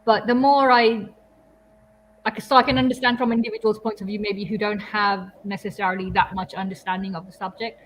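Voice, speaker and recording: A woman's voice: mid-range voice, little pitch movement, a little fast, slight background noise, poor audio. A young woman from Kenya, a marine biologist, talking thoughtfully and hesitantly in a casual livestream. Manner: thoughtful, hesitant, laughs often.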